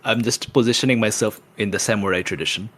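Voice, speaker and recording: A man's voice: fairly low pitch, quick pace, fairly bright tone. A man chatting casually as a player in a tabletop roleplaying game, thinking aloud about his moves.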